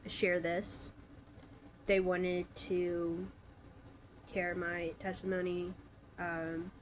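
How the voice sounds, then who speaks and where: A woman's voice: on the low side, slight background noise, poor audio. A woman in her twenties from Alabama, stuffy from a cold, telling her own story in a casual, hesitant vlog with many fillers. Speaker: vlogger.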